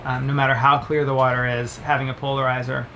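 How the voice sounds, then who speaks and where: A man's voice: medium pitch, flat delivery, fast talker, sharp tone, a little hiss or hum, poor audio. A man in his thirties casually reviewing camera gear to the camera, chatty and upbeat, explaining as he handles each item in a boat cabin. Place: cabin of a sailboat, indoors.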